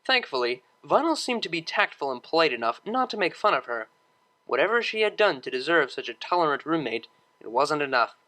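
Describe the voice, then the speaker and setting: A woman's voice: low-pitched, a bit bright. A woman reading a scripted story aloud as its narrator.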